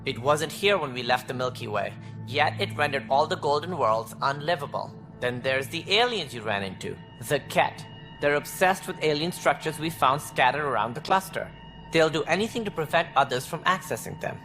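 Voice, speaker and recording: An actor playing a calculating, self-assured alien director in a video game, speaking in a measured, formal, condescending way. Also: male voice, fairly high pitch, expressive, over music. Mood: authoritative. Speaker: director of a space initiative. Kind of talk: video game cutscene acting.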